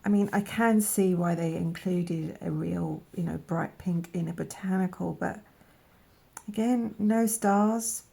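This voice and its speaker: A woman with a croaky voice from a cold, slowly musing aloud in a soft-spoken way as she tries out paints, sometimes dropping to a whisper. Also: fairly low pitch. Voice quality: croaky, gravelly. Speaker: art vlogger. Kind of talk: casual voice-over while swatching paints.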